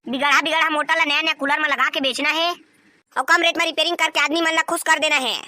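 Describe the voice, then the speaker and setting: A performer voicing a bossy, wily young cartoon shop partner, squeaky and scolding, with a Chhattisgarhi accent. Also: steady tone, fast talker, bright, forceful tone, dry recording. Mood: scheming. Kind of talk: comedy cartoon dub in Chhattisgarhi.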